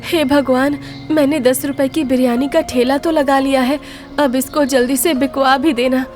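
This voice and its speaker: Devout, supportive young wife, voiced by a female narrator: high, expressive, earnest, light music underneath. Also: moderate pace, lightly acted. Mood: anxious, hopeful.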